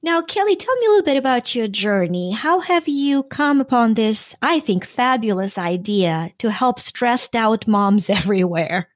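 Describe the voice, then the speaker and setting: A woman's voice: on the high side, expressive, moderate pace, few pauses, no room sound, clean studio sound. A woman from Ukraine hosting an inspirational podcast, upbeat and scripted in her intro and questions. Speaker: podcast host.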